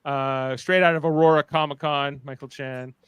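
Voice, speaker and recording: A man's voice: on the high side, fairly even intonation, normal speed, a bit bright, clean tone, no room sound. A man hosting a podcast in casual group banter, rambling and jokey as he goes. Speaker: podcast host.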